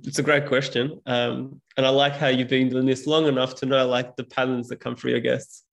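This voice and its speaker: Gravelly, flat-voiced man, reflective guest. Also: medium pitch, fairly quick. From Serbia. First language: Serbian. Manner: reflective, hesitant with fillers. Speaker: podcast guest. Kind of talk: casual conversation.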